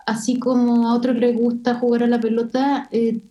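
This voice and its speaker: A woman from Chile with a Chilean Spanish accent, an illustrator speaking thoughtfully as an interview guest and pausing often with fillers. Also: on the high side, flat delivery. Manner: thoughtful, hesitant with many fillers. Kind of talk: casual interview conversation.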